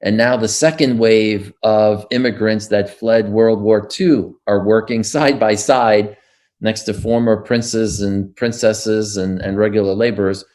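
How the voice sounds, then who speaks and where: Older New man, low voice. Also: steady tone, unhurried, darkish tone. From United States. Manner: reflective storytelling with frequent fillers and restarts. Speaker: interviewee. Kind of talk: oral history interview.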